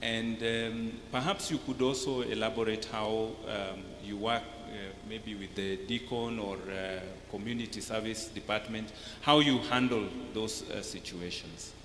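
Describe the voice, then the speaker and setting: A man with a Kenyan English accent moderating a church panel, asking polite, halting questions with many fillers. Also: fairly low pitch, steady tone, a bit bright. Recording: roomy hall, faint noise.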